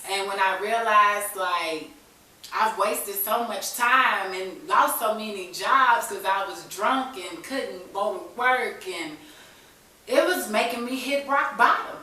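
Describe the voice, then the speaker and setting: A woman's voice: mid-range voice, slow, sharp tone. A woman in her thirties sharing a candid personal story in an informal, conversational way as an interview guest. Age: 30s. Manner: informal vernacular speech with frequent "you know". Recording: echoey, distant mic, faint hiss.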